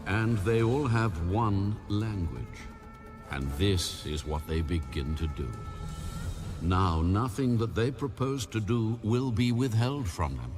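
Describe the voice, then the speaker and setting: A very deep, solemn male deity played by an actor speaks with grave authority. The pace is slow and measured, as in dramatized scripture. Music sits clearly behind the voice. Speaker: voice actor in an audio Bible. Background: background music.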